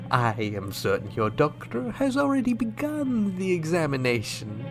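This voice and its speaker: A man playing a scheming, coolly menacing alien ambassador in a sci-fi radio drama, formal, deliberate and insinuating. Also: medium pitch. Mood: coolly dismissive. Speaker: voice actor.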